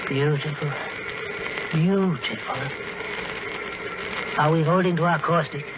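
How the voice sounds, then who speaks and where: A man's voice: fairly high pitch, steady tone, slow pace. Elderly male professor, reflective man of science, vintage radio drama, dull and very noisy recording. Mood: awed. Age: older. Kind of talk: 1940s radio drama acting.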